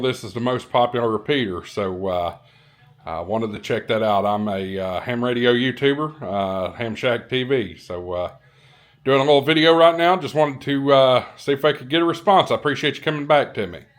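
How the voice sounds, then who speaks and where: Casual man vlogging. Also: mid-range voice. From American South. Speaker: vlogger and ham radio YouTuber. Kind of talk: casual vlog chat. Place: hotel room.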